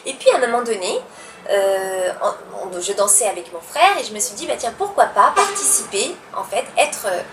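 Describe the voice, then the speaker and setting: A woman's voice: mid-range voice, expressive, normal speed, music in the background. A young woman, a dancer answering interview questions hesitantly, with many fillers and restarts. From Italy. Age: young adult. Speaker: professional dancer, interview guest. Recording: roomy distant mic with background noise.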